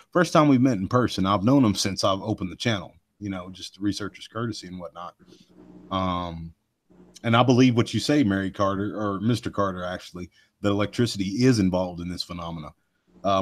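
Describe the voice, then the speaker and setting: Deep-voiced rambling man. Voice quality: deep voice that carries loud. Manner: rambling, folksy, with many fillers and asides to listeners. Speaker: host of a cryptid research live show. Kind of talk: casual live podcast chat.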